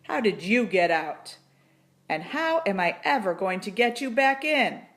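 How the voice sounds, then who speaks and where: A woman voicing a grouchy old man in a storybook, gruff and challenging. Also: mid-range voice, very animated, unhurried, a bit bright. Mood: exasperated. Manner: growling, gruff.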